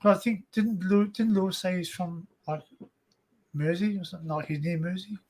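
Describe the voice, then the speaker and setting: An Australian man hosting a casual livestream chat, rambling and joking in an excitable way. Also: high-pitched, moderate pace, noisy background. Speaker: livestream host.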